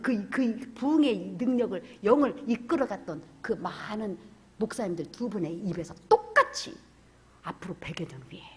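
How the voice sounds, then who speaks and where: A woman pastor preaching a fervent sermon, swinging from loud cries to hushed whispers. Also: medium pitch.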